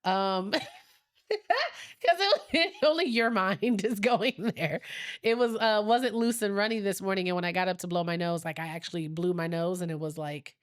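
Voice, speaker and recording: A woman's voice: mid-range voice, animated, normal speed. A woman co-hosting a podcast in casual chat, rambling and laughing easily, her nose a little stuffy. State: slightly stuffy from a sinus infection. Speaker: podcast co-host.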